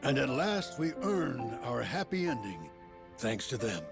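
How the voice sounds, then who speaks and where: An actor playing a theatrical, grandiloquent financier in a video game cutscene, speaking in florid, pompous oratory. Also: male voice, mid-range voice, very animated, unhurried, creaky. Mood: grand, triumphant. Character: theatrical grandiloquent New York financier giving a toast. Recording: music behind the voice.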